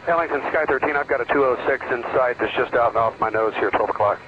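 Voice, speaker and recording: Through a tinny, noisy radio link comes a man piloting a helicopter. He talks quickly and courteously in practised aviation radio phrasing. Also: medium pitch. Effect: radio transmission.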